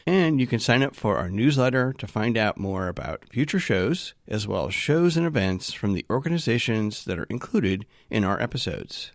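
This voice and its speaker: A man's voice: lowish voice, big pitch swings, normal speed, few pauses, no echo, clean studio sound. A man hosting a community podcast, speaking as a presenter. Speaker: podcast host. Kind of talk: scripted podcast narration and interview hosting.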